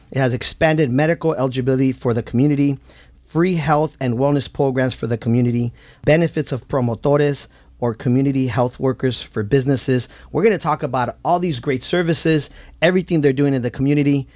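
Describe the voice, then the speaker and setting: A man's voice: medium pitch, brisk, dry recording. Upbeat man hosting a community podcast. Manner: upbeat, laughs often, gives shout-outs. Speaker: podcast host. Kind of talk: casual interview hosting.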